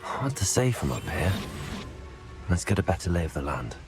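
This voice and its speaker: Student spellcaster, video game lead: observant, measured, animated pitch, over clear music. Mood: determined. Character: teenage student witch or wizard, bold, observant investigator.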